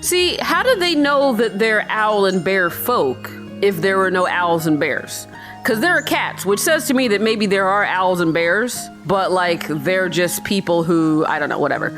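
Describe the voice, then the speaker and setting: A woman's voice: medium pitch, animated, a little slow, fairly bright tone, faint music behind. A woman hosting a game playthrough with casual commentary, playful, irreverent and chatty. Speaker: gamer host of a playthrough.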